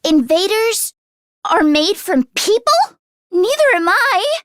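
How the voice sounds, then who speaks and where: An earnest teenage girl fighter from an English-dubbed video game. Her voice sits high and swings widely in pitch, expressive and easily worried, with a caring streak. The sound is dry and close, like a studio game recording. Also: slow pace, no room sound, exaggerated voice. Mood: distressed. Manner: expressive and emotive, with sighs and gasps.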